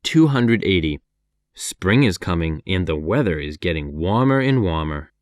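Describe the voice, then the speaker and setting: A man with an American accent reading numbered practice sentences for an English lesson, clear and measured. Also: sits low, animated, dry recording, clean studio sound.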